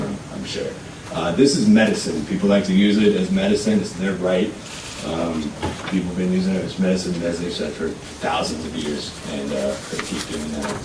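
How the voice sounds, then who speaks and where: Man, low voice, casual slangy rambling, trails off, far from mic, echoey hall, background noise. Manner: laid-back, rambling, slangy, trailing off quietly. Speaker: member of the public testifying. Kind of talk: casual off-the-cuff testimony. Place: legislative committee hearing room. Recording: echoey hearing room, distant mic, noisy.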